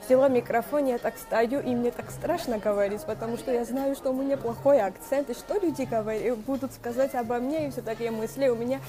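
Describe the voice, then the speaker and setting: A young woman coach hosting a live stream, speaking in a halting way with many fillers and a noticeable non-native accent, greeting viewers by name. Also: on the high side, fairly even intonation. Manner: speaks Russian as a second language with a noticeable accent. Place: small room indoors. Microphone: wired earphone mic. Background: music playing.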